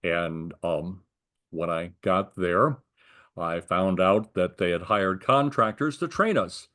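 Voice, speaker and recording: Older American man, low-pitched voice. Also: slow, sharp tone. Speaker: retired air traffic controller being interviewed. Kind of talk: conversational interview recollection.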